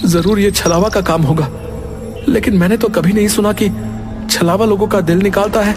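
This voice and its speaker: A man's voice: sits high, steady tone, normal speed, close to an everyday voice. A man voicing an earnest, urgent young village man in a horror cartoon. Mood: worried. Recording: music behind.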